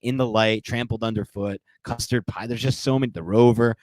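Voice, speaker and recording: A man's voice: on the low side, fairly even intonation, fairly quick, a little mellow, dry recording. A man co-hosting a podcast, chatting casually and enthusiastically, laughing often. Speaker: podcast co-host.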